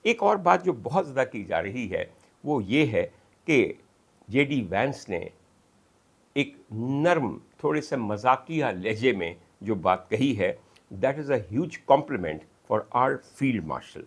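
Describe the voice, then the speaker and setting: Man, Pakistani accent, medium pitch, highly animated delivery, oratorical political commentary, emphatic lists, dramatic pauses. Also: breathy edge. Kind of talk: rhetorical monologue of political analysis.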